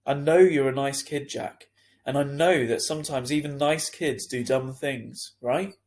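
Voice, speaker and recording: A man playing an earnest, lenient school head in a children's story, reasoning in a measured, hesitant way. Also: mid-range voice, fairly even intonation, a little mellow, lightly acted. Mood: kindly.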